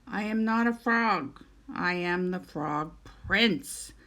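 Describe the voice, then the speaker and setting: A woman's voice: lowish voice, slow, fairly bright tone, voice pushed down. A woman voicing a young fairytale prince who is earnest, polite and insistent, and easily flustered. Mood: exasperated.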